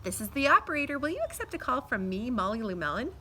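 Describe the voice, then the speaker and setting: A woman's voice: high voice, big pitch swings, quick pace, pushed and bright, voice pushed up, full character voice. A woman voicing an inventive, confident little girl in a storybook, with a perky delivery. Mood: cheerfully confident. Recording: faint outdoor background noise.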